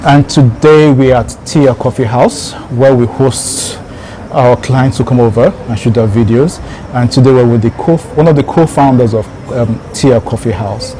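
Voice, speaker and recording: A man hosting an interview casually, friendly and quick to laugh. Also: mid-range voice, warm tone, slight background noise. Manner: friendly, laughs often, brief backchannels. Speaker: host, channel founder.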